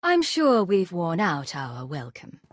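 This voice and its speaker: Calm dry adventurer lady in a game, lowish voice, no echo at all. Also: takes it slowly, heavily acted. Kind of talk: video game cutscene acting.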